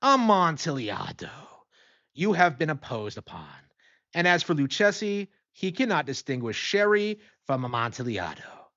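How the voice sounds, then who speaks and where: A man's voice: on the high side, very animated, normal speed, bright, forceful tone, highs cut off, pitched above the performer's own voice, heavily acted. A man voicing a tipsy, proud wine connoisseur in a storybook reading, exclamatory and dismissive. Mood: scornful. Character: tipsy, proud, excitable male wine connoisseur in carnival motley.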